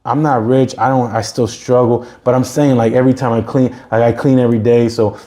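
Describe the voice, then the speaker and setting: A man's voice: mid-range voice, steady tone, a little fast, warm tone. A man in his forties hosting a podcast, giving an earnest, repetitive pep talk in casual chat. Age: 40s. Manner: earnest, repeats himself, pep-talk style. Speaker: podcast host.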